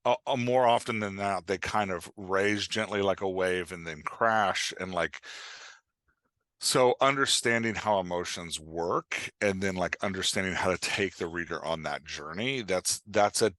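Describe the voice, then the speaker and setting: A man's voice: sits low, a little slow, fairly bright tone, no echo, studio quality. A man speaking as a podcast guest and writing coach, explaining things in an informal, rambling, conversational way and sometimes acting out imagined reactions. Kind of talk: casual explanatory interview talk.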